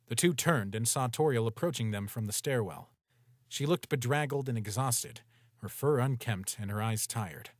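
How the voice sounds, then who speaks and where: A man reading a story aloud in a steady, even storytelling manner. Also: mid-range voice, dry recording, studio quality. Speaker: narrator. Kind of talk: scripted story narration.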